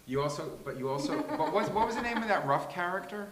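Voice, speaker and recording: A man's voice: high voice, lively intonation, quick pace, a crowd in the background. A man earnestly giving effusive feedback in a casual discussion, often restarting his sentences as he goes. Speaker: table read participant giving feedback. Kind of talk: casual post-show discussion. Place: live table read in a room. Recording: roomy echo with faint hiss.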